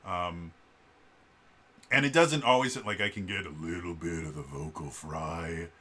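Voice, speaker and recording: A man with a very low voice talks through how singing works in a casual vlog. His tone is bright and forceful despite the depth. He stumbles often, with lots of fillers and stammered restarts. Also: unhurried. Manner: shifts to gravelly vocal fry demonstrations. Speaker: vlogger explaining singing.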